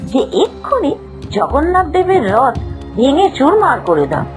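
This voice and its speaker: A proud, anxious cartoon goddess wife, acted out by a woman storyteller. The voice is high and very animated, with an emphatic, commanding manner and a quick temper. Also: soft background music. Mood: angry, commanding.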